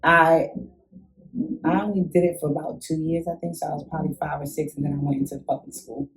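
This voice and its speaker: A young woman talks through her childhood memories in an easygoing interview. She is animated, laughs often and acts out what she felt back then. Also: deep voice, fast, gravelly, low-quality recording. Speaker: interview guest. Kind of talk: casual conversational storytelling.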